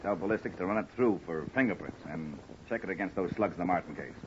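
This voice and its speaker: An actor plays a weary American police sergeant with a low voice and folksy, matter-of-fact talk. It is vintage radio drama on a dull recording with faint noise. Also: male voice, fast talker, lightly acted. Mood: puzzled, businesslike. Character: plain-spoken, weary male police sergeant. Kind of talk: old-time radio acting.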